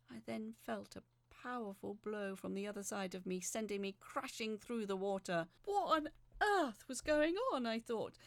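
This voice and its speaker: A woman reading a scripted first-person horror story in a dramatic, suspenseful way, often dropping into a breathy hush. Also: fairly high pitch, dry recording.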